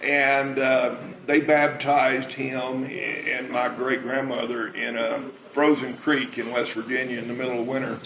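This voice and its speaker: A man's voice: mid-range voice, level tone, a little slow, hardly any pauses, a bit bright. A man of about sixty with a preacher's big voice, telling anecdotes in an informal talk. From United States. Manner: anecdotal storytelling. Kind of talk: informal public talk and interview. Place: cafe, indoors. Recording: echoey room with clear background noise.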